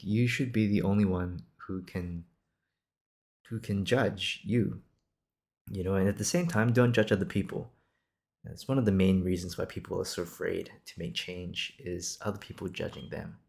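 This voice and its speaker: A man's voice: low voice, fairly even intonation, a little slow, vocal fry, darkish tone. A man in his twenties from Singapore hosting an improvised podcast, talking casually in a reassuring, encouraging, conversational way. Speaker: podcast host.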